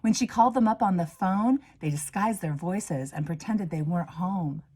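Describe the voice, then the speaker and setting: A woman reading a children's storybook aloud in an expressive way, moving between hushed asides and emphatic swells. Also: lowish voice, moderate pace, slightly rough. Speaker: reader, minister.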